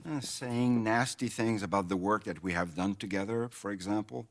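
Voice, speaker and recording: An actor playing an effusive, corrupt city mayor in a video game, speaking with flowery, oily politeness and pompous theatricality. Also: male voice, fairly low pitch, moderate pace, no room sound, close to an everyday voice. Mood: slyly apologetic. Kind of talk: dramatic video game acting.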